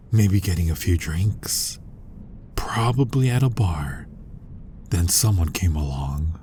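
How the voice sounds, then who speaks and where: A man acting as a menacing, centuries-old vampire hunter in an intimate ASMR roleplay, husky and breathy, commanding and often close to a whisper. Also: sits low, mellow, heavily acted. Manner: commanding, interrogating, taunting with slow pauses. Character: centuries-old male vampire hunter, menacing, dominant, suspicious, inhumanly restrained. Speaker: voice actor in an audio roleplay.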